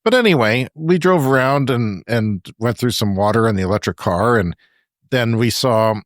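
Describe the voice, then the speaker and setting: A man co-hosting a podcast in casual chat, talkative and quick to laugh. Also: mid-range voice, animated, no room sound, studio quality. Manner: chatty, laughs often between remarks. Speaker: podcast co-host. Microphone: remote podcast call.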